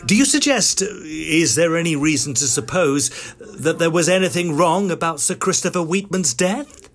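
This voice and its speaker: An actor playing an inquisitive Edwardian gentleman ghost hunter, narrating and speaking in a formal British accent. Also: on the high side, expressive, normal speed. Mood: measured, inquiring. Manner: genteel, formal. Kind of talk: dramatised storytelling.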